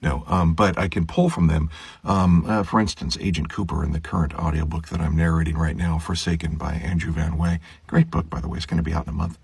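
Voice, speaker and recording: Low, very husky male narrator. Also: fairly even intonation, a little fast, a little mellow, no room sound. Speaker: audiobook narrator, voice actor. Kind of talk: casual explanatory chat to viewers.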